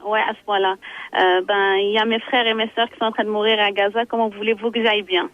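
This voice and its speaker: A woman calling in to a radio show, speaking haltingly with repeated words and a blunt, provocative edge. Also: medium pitch, flat delivery. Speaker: caller. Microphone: telephone. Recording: muffled phone line.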